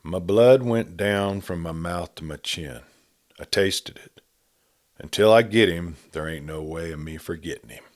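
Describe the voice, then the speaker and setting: A man voicing a soft-spoken, half-wild young western drifter in an audiobook, with a gentle, unemotional rustic drawl. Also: sits low, unhurried. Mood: quietly menacing.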